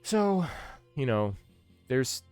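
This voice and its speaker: A man's voice: mid-range voice, sing-song, extremely slow, a little mellow, clear, resonant tone. A man in his thirties giving casual game commentary as a streamer, with wry, grumbling asides. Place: small indoor room, livestream setup. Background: game music.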